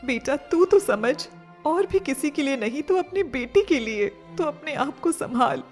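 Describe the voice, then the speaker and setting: A woman's voice: high-pitched, animated, unhurried, darkish tone, soft background music. A woman voicing a caring, worried elderly mother who pleads and coaxes emotionally in a dramatised story. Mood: worried pleading. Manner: emotional, coaxing. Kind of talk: dramatised Hindi moral story acting.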